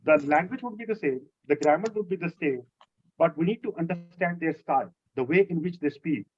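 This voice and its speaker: Man teaching, slightly high voice. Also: brisk, highs cut off, slight background noise. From Lahore, Pakistan. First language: Urdu. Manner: explanatory, steady, repeats key phrases. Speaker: instructor. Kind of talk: answering a student's question in an online class.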